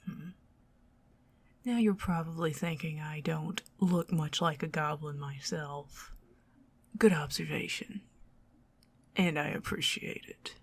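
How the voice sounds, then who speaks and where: A woman with a Southern US accent voicing a possessive, scheming goblin queen in a soft, teasing ASMR whisper. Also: low voice, fairly even intonation, slow pace, pauses often, full character voice. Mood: coaxing whisper. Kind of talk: ASMR roleplay.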